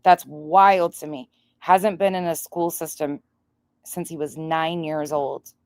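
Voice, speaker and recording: Female livestream commentator, deep voice, blunt and sweary, incredulous, casual, dry sound. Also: fairly even intonation, slow pace, dry recording. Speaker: livestream host.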